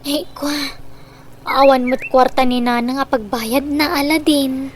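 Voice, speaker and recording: A woman's voice: high voice, steady tone, a little slow, noisy background. A woman playing a young newlywed wife caught between mother and husband in comedic radio drama, apologetic, with an Ilocano accent.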